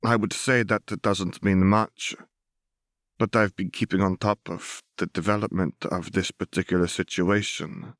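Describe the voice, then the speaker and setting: A tentative young nobleman , played by a male narrator, speaks carefully and softly in an audiobook. The voice is fairly deep in a dry recording. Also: flat delivery, measured pace, no room sound. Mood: quietly confiding.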